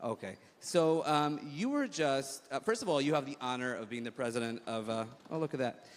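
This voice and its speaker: A man with an American accent hosting an onstage conference interview, rambling and halting with many fillers. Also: medium pitch, expressive. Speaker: host. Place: large hall on stage. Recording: reverberant hall, faint noise.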